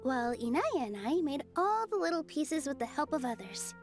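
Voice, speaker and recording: A woman voicing a gentle, encouraging young dancer in a video game, speaking sweetly and sincerely. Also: high voice, very animated, moderate pace. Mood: warm, grateful. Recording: music faintly behind.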